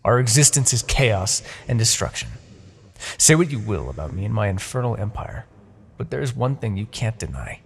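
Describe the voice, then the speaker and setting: A low, husky demon king, acted by a man, proud and vengeful, holds forth in a fantasy audio roleplay. His gravelly delivery is grandiose and deliberate, swinging widely in pitch between coaxing and menace. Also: big pitch swings, a little hiss or hum. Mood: imperious, persuasive. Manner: theatrical, deliberate, imperious, with dramatic pauses. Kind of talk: fantasy ASMR roleplay monologue.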